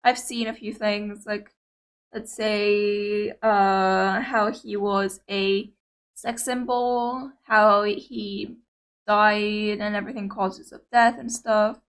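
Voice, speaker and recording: A woman's voice: mid-range voice, little pitch movement, takes it slowly, a bit bright. A young woman with a Greek Cypriot accent, whose first language is Greek, chatting casually and rambling to viewers as a reaction video host. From Cyprus. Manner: chatty, rambling, hesitant with many fillers.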